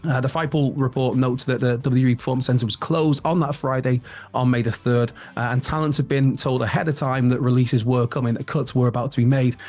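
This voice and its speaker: A man's voice: mid-range voice, steady tone, fairly quick, a little mellow, soft background music. A man, presenting a casual wrestling news rundown in a chatty, rambling way. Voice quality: raspy. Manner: chatty, rambling, with frequent restarts and laughs.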